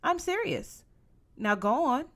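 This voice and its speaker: Storybook mother, played by a female narrator: firm, coaxing, commanding, quick to tease. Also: sits high, big pitch swings, a bit bright, voice pushed up, full character voice. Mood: insistent. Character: firm, no-nonsense Mexican American mother, teasing.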